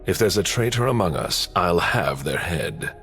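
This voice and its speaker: An actor playing a stern, protective and menacing vampire enforcer in a video game, speaking in a grave, commanding, gravelly way. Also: male voice, sits low, expressive, moderate pace, a bit bright, faint music behind. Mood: grave, loyal. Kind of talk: dramatic video game acting.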